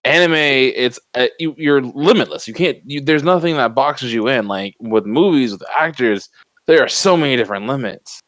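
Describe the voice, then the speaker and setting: Man, medium pitch, dry recording, casual co-host, emphatic, opinionated, salty language. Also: lively intonation, normal speed, fairly bright tone, no echo. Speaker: podcast co-host. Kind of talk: casual panel chat.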